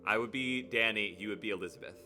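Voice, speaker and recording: A man hosting a live stream, chatting playfully with sudden excited jumps in his voice. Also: on the low side, fast talker, soft background music. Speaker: livestream host. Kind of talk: casual live stream chat.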